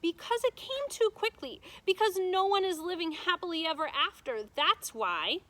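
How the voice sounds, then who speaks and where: Woman voicing an earnest, high-pitched storybook little girl. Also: animated, moderate pace, fairly bright tone. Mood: indignant. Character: young girl, indignant, earnest, affectionate, in a storybook. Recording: noticeable background noise.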